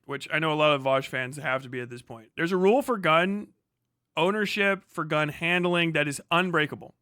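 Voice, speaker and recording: An American man hosts a livestream reaction with a high, sharp-edged voice. He talks casually, swears freely and leans on sarcasm and disbelief. The sound is very dry, with no room to it. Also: dry recording. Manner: sarcastic, profane, incredulous. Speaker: livestream host reacting to a video.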